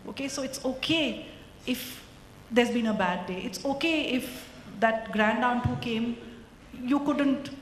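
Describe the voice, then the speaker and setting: A woman's voice: on the high side, measured pace. A woman speaking as a panelist on a conference stage, reflective and reassuring, into a handheld mic. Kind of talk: panel discussion. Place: conference stage before an audience. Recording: echoey hall with faint noise.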